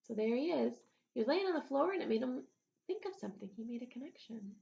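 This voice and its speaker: A woman's voice: fairly high pitch, animated, fast talker, gravelly, mellow, lo-fi sound. A woman reading a children's storybook aloud as a schoolteacher, expressively, with asides to her young pupils. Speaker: elementary school teacher.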